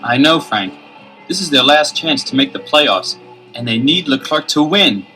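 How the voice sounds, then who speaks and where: An actor playing a keen, confident teenage detective brother in a 1970s children's audio adventure, earnest and emphatic. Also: male voice, fairly high pitch, expressive, normal speed, pushed and bright, faint music behind.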